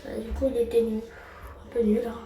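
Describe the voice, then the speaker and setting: A woman's voice: a little high, flat delivery, fairly quick, dark tone. A woman giving casual, blunt and mocking reaction commentary in slang as she hosts a video. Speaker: video host reacting to clips. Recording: roomy, distant mic, music behind.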